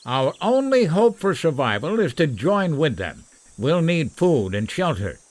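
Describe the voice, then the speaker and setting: A man playing an earnest, peace-seeking, fatherly professor who pleads deliberately in an old-time radio drama. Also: on the high side, very animated. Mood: urgent, pleading.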